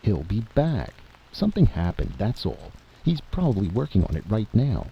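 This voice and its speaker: A man voicing a gruff, slangy and stubbornly confident adventurer in a story reading. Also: lowish voice, huge pitch swings, moderate pace, lo-fi sound, voice pushed up, exaggerated voice. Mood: confident, reassuring.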